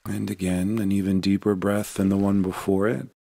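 A man with a very deep voice leads a guided meditation. His delivery is gentle and unhurried, with a noticeable creak in the tone. The sound is dry and close, free of room echo. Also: level tone. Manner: gentle, unhurried, soothing instruction.

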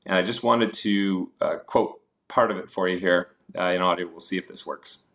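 A man's voice: low-pitched, moderate pace, fairly bright tone. A man presenting investing slides as a host, explaining points informally with hesitant asides.

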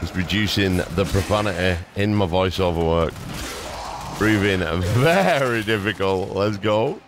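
A man's voice: deep voice, a little slow, background noise. A man giving casual, playful gameplay commentary, throwing in exclamations and mild substitutes for swearing. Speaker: gaming YouTuber. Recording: music playing behind the voice. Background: game music.

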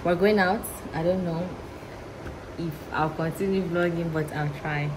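A young woman chatting casually to her phone camera as a vlogger, laughing often and talking in an animated way. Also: lowish voice, measured pace. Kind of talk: casual vlog talk to camera. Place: indoors, hallway of a building. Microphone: phone camera at arm's length. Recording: noisy phone vlog audio.

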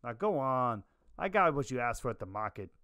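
A man's voice: medium pitch, sing-song, a little fast, sharp tone, reverberant. A man playing a stubborn, wry 1940s private detective in a noir radio drama, speaking in terse, slangy tough talk on a close mic. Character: hard-boiled, stubborn 1940s private detective, gruff uncle. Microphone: close studio microphone, headset.

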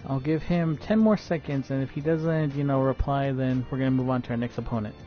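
A man's voice: medium pitch, steady tone, fairly quick, soft background music. A man live streaming while he plays a game, talking casually and stuttering often with many fillers and restarts. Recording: dull lo-fi stream audio.

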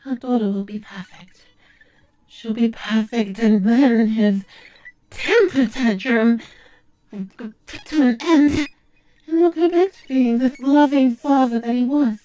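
A voice actress playing an obsessive, desperate, unravelling woman in a dramatic ASMR audio roleplay, muttering haltingly to herself. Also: on the high side, mellow, muffled recording. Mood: fixated. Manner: halting, muttering to herself, swinging between whispers and outbursts.

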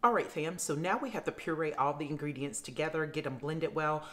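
A woman's voice: low-pitched, brisk, fairly bright tone. A middle-aged woman chatting casually to her viewers while demonstrating a recipe in her home kitchen. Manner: chatty, addresses viewers as fam. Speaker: cooking vlogger. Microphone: camera facing her at counter.

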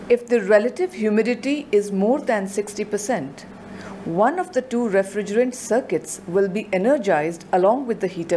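A woman reads a scripted technical narration with a measured, explanatory delivery. Her voice sits at a middle pitch. It is a clean studio voice-over read. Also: darkish tone, a little hiss or hum.

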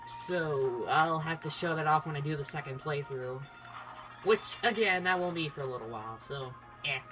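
Casual let's-play host, mid-pitched androgynous voice, rambling and self-interrupting, game music underneath. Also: normal speed, rough recording. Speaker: gaming video host. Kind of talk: casual playthrough commentary. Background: video game music.

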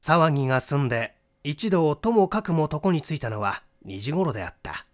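A man giving a scripted narration of a mystery novel, in a dramatic, deliberate storytelling manner. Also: a little high, very animated. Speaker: audiobook narrator, stage actor.

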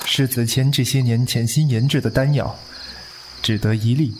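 A man playing a composed, laconic young martial-arts heir in a Chinese audio drama, calm and understated. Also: mid-range voice, normal speed, very noisy, lightly acted. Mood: calm, tender. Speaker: voice actor.

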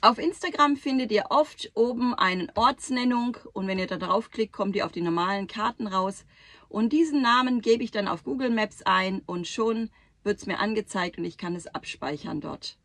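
A woman's voice: mid-range voice, moderate pace, a bit bright, no room sound. A woman in her thirties, a travel vlogger talking to camera from inside a camper van, explaining step by step how she plans trips. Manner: clear, instructive, lists items one by one. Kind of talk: explanatory vlog talk to camera. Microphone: camera at close range across a table.

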